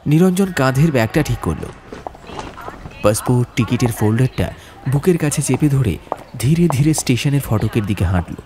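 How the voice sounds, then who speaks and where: A man narrating a scripted horror story in a literary, expressive, dramatic way. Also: medium pitch, slight background noise. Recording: music under the voice. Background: background music.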